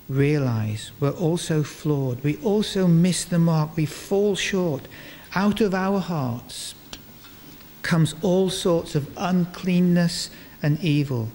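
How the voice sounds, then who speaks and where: An older man giving a sermon in a large church, speaking into a stand microphone in measured phrases with deliberate pauses. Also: fairly high pitch, darkish tone. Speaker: preacher. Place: large stone church, indoors, before a congregation. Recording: reverberant church hall, clear background noise.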